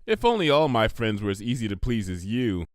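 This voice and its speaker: A man's voice: lowish voice, sing-song, brisk, no room sound, studio quality. A man voicing a bookish, well-meaning young female cartoon pony with an earnest, precise, weary manner for a story reading. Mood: wry.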